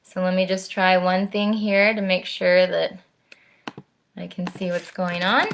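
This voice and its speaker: A woman, around her thirties, speaking to young children in an expressive storytime style, slowly and directly, recorded at home on a device microphone. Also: lowish voice, gravelly, noisy background. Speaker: storytime presenter, orchestra musician. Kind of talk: children's read-aloud and friendly chat to camera. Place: at home, indoors.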